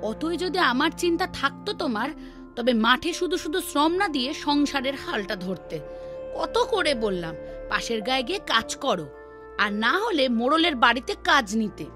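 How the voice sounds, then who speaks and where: A woman's voice: sits high, very animated, moderate pace. A woman playing a practical village housewife in a cartoon, bossy but caring, with a nagging, exclamatory way of talking. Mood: irritated. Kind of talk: cartoon voice acting. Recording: music behind the voice.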